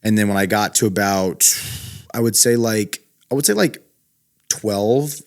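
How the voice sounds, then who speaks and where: A man's voice: fairly low pitch, measured pace. A young man from Houston, a podcast guest, telling his story in a laid-back, rambling way with many ums. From Houston, Texas. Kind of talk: casual chat.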